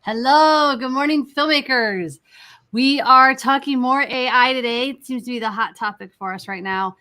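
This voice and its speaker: A woman's voice: sits high, normal speed, sharp tone. A woman hosting a casual interview, talking in a conversational way as she puts questions to guests. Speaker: interview host.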